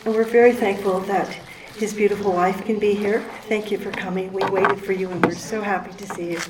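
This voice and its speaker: Soft-voiced woman in an echoey room. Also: medium pitch, fairly even intonation, a little fast. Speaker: state society leader hosting an award ceremony. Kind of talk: formal ceremony speech. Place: meeting room with a microphone. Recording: echoey room, faint noise.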